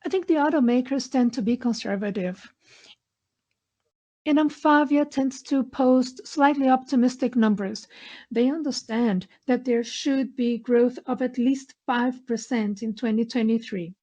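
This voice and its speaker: A woman interpreting a corporate earnings call in measured phrases, pausing mid-sentence. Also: a little high, dark tone. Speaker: interpreter voicing conference call speakers. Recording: dull, lo-fi conference line audio.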